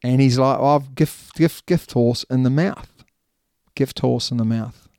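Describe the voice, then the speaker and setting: Chatty New Zealand-accented man, mellow tone. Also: medium pitch, unhurried, dry recording, clean studio sound. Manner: chatty, laughs easily, rambling asides. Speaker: podcast co-host. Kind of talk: casual rugby podcast chat.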